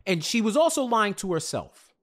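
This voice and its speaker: A man's voice: high voice, big pitch swings, moderate pace. Emphatic American man in casual conversation. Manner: emphatic, repeats phrases as he builds a point. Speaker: podcast co-host. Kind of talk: casual TV recap discussion. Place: small home studio indoors. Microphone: large podcast mic close up.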